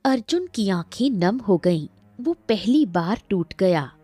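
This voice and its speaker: A woman's voice: medium pitch, very animated, takes it slowly, warm tone, slightly rough, faint music behind. A woman narrating a scripted moral story in storybook style.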